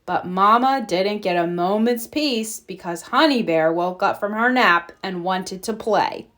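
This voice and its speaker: A woman's voice: medium pitch, measured pace, fairly bright tone. Woman reading a children's story aloud. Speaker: storybook reader.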